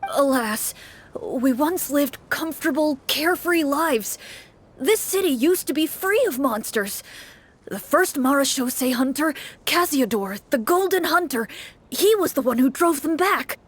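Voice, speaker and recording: A jittery, teary-eyed townsman, as a woman puts him on, wringing his hands in a lively, wavering voice. He frets over every worry, then gushes with shaky relief. It plays like a fan-voiced game cutscene. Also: high voice, measured pace, slight background noise. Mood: fretful. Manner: fretful and gushing, breaks into shaky relief. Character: anxious, tearful middle-aged townsman.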